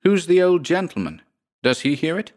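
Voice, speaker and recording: A man's voice: on the high side, very animated, normal speed, voice pushed up. Man playing a rattled, indignant English lodger. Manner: sharp, exasperated. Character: rattled, indignant Englishman lodging in a haunted house.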